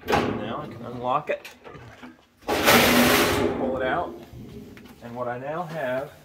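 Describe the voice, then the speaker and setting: A man's voice: mid-range voice, slow pace. A man, giving an unscripted hands-on how-to in short bursts while he works. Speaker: HVAC sheet metal tradesman demonstrating. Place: large indoor warehouse workshop. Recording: loud workshop noise, metal clanking and clicks.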